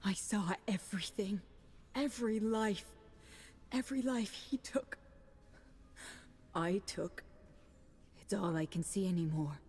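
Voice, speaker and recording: Breathy, haunted Jedi master. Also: female voice, mid-range voice, darkish tone, long reverb tail. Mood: anguished, horrified. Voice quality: very husky, breathy. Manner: halting, anguished. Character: haunted, guilt-ridden female Jedi master. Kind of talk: dramatic video game acting.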